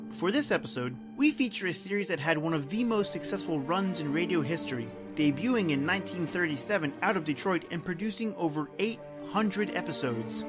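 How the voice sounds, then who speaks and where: A man hosting a podcast, reading a scripted introduction. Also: on the high side. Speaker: podcast host. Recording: clear music behind the voice.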